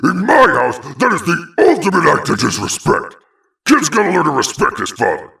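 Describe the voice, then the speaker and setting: A man voicing a gruff, boastful turtle-monster villain father in a fan cartoon, with a growling, theatrical delivery. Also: on the high side, huge pitch swings, moderate pace, pushed and bright, recorded from a distance, exaggerated voice. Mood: indignant. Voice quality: gravelly, growling. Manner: blustering, theatrical. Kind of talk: cartoon voice acting for fan animated series.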